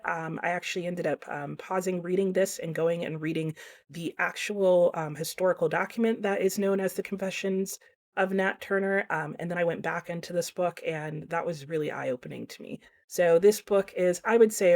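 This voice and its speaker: A middle-aged woman giving a casual book review in a reflective, rambling way, often correcting herself. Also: mid-range voice.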